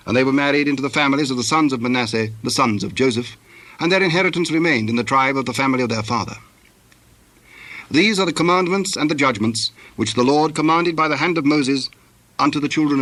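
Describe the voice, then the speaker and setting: Formal male scripture narrator, mid-pitched. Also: fairly bright tone.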